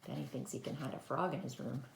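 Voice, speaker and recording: Female early-years storyteller, low creaky voice, simple child-directed delivery, roomy home recording. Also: steady tone, fast, very noisy. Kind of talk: read-aloud storytelling for young children. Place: indoors at home.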